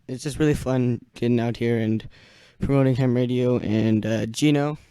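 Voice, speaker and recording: A young man hosting an amateur radio net in casual, halting chat, searching for words as he goes. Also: medium pitch, fairly even intonation, dark tone, smooth, dry recording. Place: small indoor radio room.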